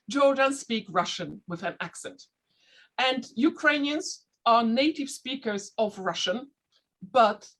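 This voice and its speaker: A woman who learned English as a second language, speaking with a slight Dutch-sounding accent as a panelist in an online discussion, pausing and restarting as she looks for words. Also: on the high side, fairly bright tone. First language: not English. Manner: thoughtful, searching for words with frequent restarts.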